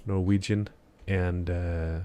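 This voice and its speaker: A man chats with his stream viewers early in the morning while he plays, still not quite awake. His voice sits very low and barely moves in pitch. He rambles and hesitates, restarting sentences and leaning on fillers. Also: flat delivery, slow pace, mellow. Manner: chatting with viewers. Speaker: live streamer. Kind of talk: casual live-stream chat while gaming.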